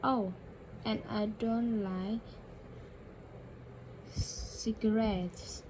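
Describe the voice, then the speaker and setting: Slow, flat-voiced woman shadowing English. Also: medium pitch, slight background noise, rough recording. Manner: slow, careful repetition with self-corrections. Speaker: English learner. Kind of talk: shadowing practice, repeating a dialogue.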